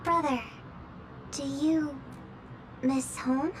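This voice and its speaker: A woman's voice: sits high, fairly even intonation, drawn-out words, background noise. A woman playing a young, earnest, pleading girl in a video game. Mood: tender. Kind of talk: dramatic game voice acting. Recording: echoey hall, far from mic.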